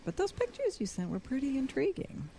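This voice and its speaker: A woman's voice: sits high, wildly swooping pitch, brisk, creaky, mellow, slight background noise, full character voice. A woman acting a dry, skeptical scientist in an audio drama, speaking precisely and a little smugly. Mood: dry, guarded.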